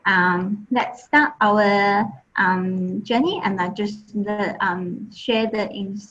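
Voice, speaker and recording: A woman's voice: mid-range voice, extremely slow, a bit bright, dull audio. A woman from Taiwan, not a native English speaker, presenting as an admissions and marketing officer, with many fillers and restarts. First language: Chinese. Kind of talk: online presentation.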